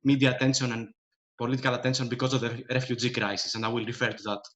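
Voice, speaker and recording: A man's voice: mid-range voice, little pitch movement, rapid-fire. A man from Greece with a Greek accent, a professor introducing himself on a video-call panel in a light, self-mocking way. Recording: dull lo-fi call audio.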